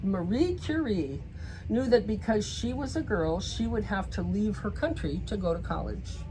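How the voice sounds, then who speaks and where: A woman reads a picture book aloud to children, pausing for emphasis and speaking directly to her young listeners. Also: medium pitch, expressive, dark tone, a little hiss or hum, rough recording. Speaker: children's story reader. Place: bookstore in a shopping center.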